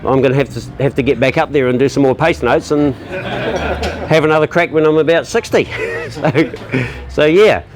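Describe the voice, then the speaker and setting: A man giving a relaxed, self-deprecating talk to a live audience. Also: a little high, fairly quick, a crowd in the background. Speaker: guest speaker.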